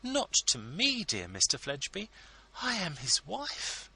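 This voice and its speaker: A man voicing a gushing, flattering scheming wife who pleads sweetly in a storybook reading. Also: sits high, measured pace, creaky, breathy edge, pitched above the performer's own voice, heavily acted, poor audio. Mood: gushing pleading.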